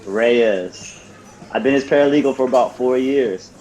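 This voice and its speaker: A man's voice: mid-range voice, unhurried, darkish tone, natural voice. A man acting in an audio drama as a friendly paralegal on a coffee date, easygoing and conversational. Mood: friendly, interested.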